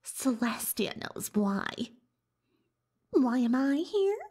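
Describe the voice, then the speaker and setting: A woman voicing a boastful, dramatic young female unicorn magician in soft-spoken, often whispered roleplay ASMR, theatrical and self-important. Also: sits high, sing-song, takes it slowly, exaggerated voice. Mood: haughty surprise. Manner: theatrical, self-important, speaks of herself in third person.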